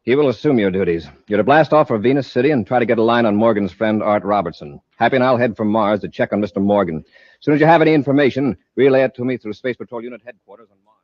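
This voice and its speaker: An actor playing an authoritative space patrol commander in an old radio serial, clipped and commanding. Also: male voice, medium pitch, quick pace, no room sound, natural voice. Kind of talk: old-time radio sci-fi acting. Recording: dull lo-fi old radio recording.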